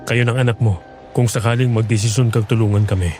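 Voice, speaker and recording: A dark, soft-edged voice with faint music underneath: a man playing a young farmer in a horror audio drama. He is measured and earnest, a protective brother set on his purpose. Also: fairly low pitch, steady tone, lightly acted. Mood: firm.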